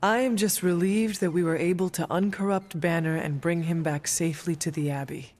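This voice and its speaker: A woman's voice: lowish voice, steady tone, fairly quick, darkish tone, long reverb tail, lightly acted. Formal, reserved warrior woman, voiced by an actress. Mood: subdued. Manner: stiff, formal phrasing. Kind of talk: dramatic video game dialogue.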